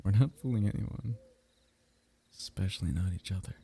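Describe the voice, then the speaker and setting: Low, gravelly-voiced man, intimate. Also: normal speed. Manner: soft, intimate, teasing, drifting into whispers. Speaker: ASMR roleplay performer. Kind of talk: intimate ASMR roleplay.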